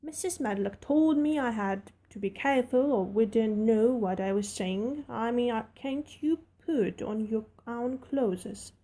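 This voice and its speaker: A woman's voice: on the high side, unhurried, hardly any pauses, dark tone. A woman doing a blunt Yorkshire housemaid. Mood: matter-of-fact. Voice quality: broad dialect, homely and frank. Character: plain-spoken young Yorkshire housemaid, cheerful and blunt.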